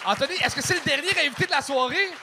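A man's voice: very high-pitched, rapid-fire, bright, forceful tone, some chatter behind, slight background noise. A man with a Quebec French accent hosting a comedy show with blunt, teasing casual banter. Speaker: comedy show host and judge.

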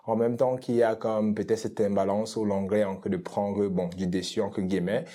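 A man from Cameroon talks things through in a relaxed interview, his voice sitting low and sounding dark and mellow. He often pauses on fillers and restarts as he searches for his words. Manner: thoughtful, many hesitant fillers and restarts. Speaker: artist guest. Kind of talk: casual interview conversation.

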